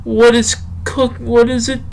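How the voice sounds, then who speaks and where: Man acting earnest male poetry host, high gravelly voice, halting, drawn-out, faint hiss. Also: little pitch movement, darkish tone, heavily acted. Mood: slow, rambling. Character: Slow Mo Joe, slow, dim, earnest male poetry show host. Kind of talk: halting poetry recital and rambling podcast talk.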